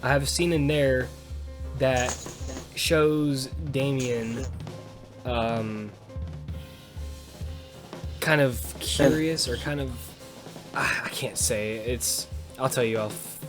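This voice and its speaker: A man's voice: medium pitch, slow, pauses often, over music. A man chatting casually on a podcast with friends, informal and slangy, rambling and breaking off mid-thought. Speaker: podcast host and audio fiction creator.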